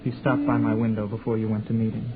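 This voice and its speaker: A man's voice: fairly low pitch, little pitch movement, fast talker, very noisy, natural voice. Shy stammering young man, acted on tinny old radio. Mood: wistful, bitter. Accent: American. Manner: stammers when flustered. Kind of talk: 1940s radio drama acting. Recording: tinny 1940s radio broadcast, music behind.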